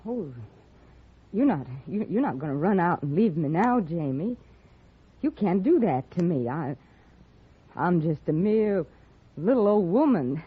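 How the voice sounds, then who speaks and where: Husky, feisty ranch owner. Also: female voice, fairly low pitch, big pitch swings, unhurried, pauses often, exaggerated voice. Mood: pleading, insistent. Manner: forceful, pleading, dramatic. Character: feisty, bossy female western ranch owner. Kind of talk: old-time radio western acting. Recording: muffled old radio recording.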